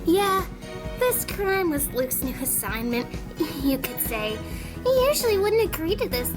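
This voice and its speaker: A woman's voice: extremely high pitch, very animated, unhurried, music in the background, exaggerated voice. A woman voicing a gleefully malicious female animal criminal who taunts and drawls in an audio drama. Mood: spiteful, grudging.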